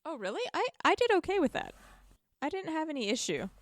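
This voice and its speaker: A woman telling gaming stories in informal, animated podcast chat, acting out moments with repeated phrases. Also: high voice, brisk, no echo, clean studio sound. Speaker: podcast guest. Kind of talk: casual chat, animated storytelling about gaming.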